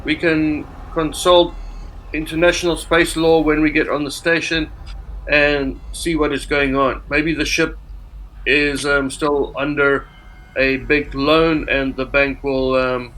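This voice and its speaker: A man's voice: fairly high pitch, level tone, slow pace, fairly bright tone, poor audio. A man playing a conciliatory crew member in a tabletop space game, placating others with hesitant phrasing. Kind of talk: tabletop game role-play.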